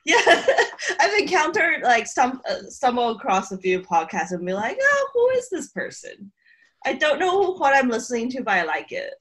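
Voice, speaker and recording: Lively, laughing woman from Taiwan. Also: high voice, moderate pace. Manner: laughs often, chatty with many restarts. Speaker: podcast guest. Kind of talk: casual chat.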